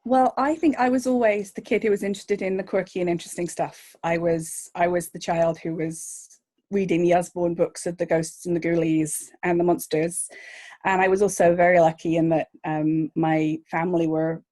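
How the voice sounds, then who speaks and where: A woman's voice: fairly low pitch, steady tone, normal speed, dark tone. A woman, a journalist guest, chatting eagerly and rambling with restarts. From Northern Ireland. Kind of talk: casual interview chat.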